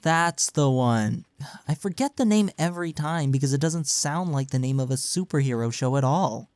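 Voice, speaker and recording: A man's voice: a little high, lively intonation, normal speed, a little mellow, clean tone, no room sound, close to an everyday voice. A young man's voice in an intimate audio roleplay, recorded very dry. He is acting as a caring college best friend speaks casually and earnestly, with a playful, teasing streak, as if to one listener. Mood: playful, chatty. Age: young adult.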